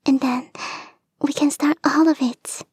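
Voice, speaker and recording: A woman voicing a clingy, lovestruck young kitsune fox girl in a soft, breathy, often whispered ASMR romance roleplay, coy and gushing. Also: sits high, fairly even intonation, measured pace, no echo. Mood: giddy, possessive. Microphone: close microphone, dry.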